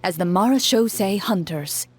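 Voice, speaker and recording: A composed female storyteller, acted by a woman running a fantasy game. Her medium-pitched voice is measured and dramatic, setting each scene solemnly, with faint music underneath. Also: lively intonation, lightly acted. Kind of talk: scripted storytelling narration.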